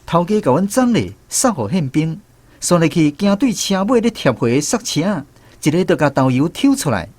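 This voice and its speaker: An actor playing a reflective former soldier, narrating a radio drama expressively with a Taiwanese accent. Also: male voice, fairly high pitch, normal speed. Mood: tense recounting. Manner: expressive storytelling.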